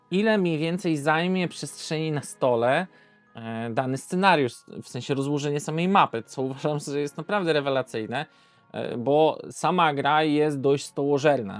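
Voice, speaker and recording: A Polish man presenting an informal, unscripted board game video review, hesitating and often correcting himself as he goes. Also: a little high.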